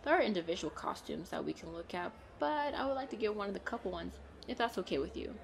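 A woman playing a playful, excitable girlfriend in a chatty roleplay audio, with a bubbly, expressive delivery. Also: mid-range voice, fast talker, creaky, faint music behind. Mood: excited, teasing. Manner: bubbly, expressive, frequent giggles and exclamations. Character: playful, excitable, pleading girlfriend out costume shopping.

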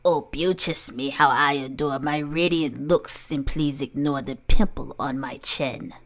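A woman's voice: deep voice, steady tone, unhurried. A woman playing a mean, vain aunt from a storybook, with a scornful, snapping manner. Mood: sneering, vain. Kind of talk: storybook character voice.

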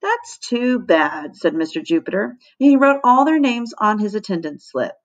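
A middle-aged woman reading a children's storybook aloud like a teacher, with expressive, animated delivery. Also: medium pitch, brisk, highs cut off. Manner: animated, acting out dialogue between narration.

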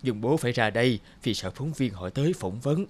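A man voicing a gentle, humble middle-aged father in a cartoon, speaking a little hesitantly. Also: mid-range voice, animated, a little fast, a little hiss or hum, lightly acted. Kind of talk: cartoon voice acting. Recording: music bed under the voice.

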